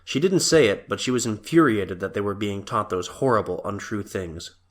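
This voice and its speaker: A man's voice: fairly low pitch, normal speed. A man reading scripted story narration for an audio drama.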